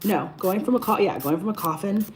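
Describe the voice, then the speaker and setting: A woman in her thirties, hoarse and raspy from losing her voice, chatting casually to livestream viewers during a nail tutorial and greeting them by name. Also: medium pitch, brisk, slight background noise. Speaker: beauty livestream host.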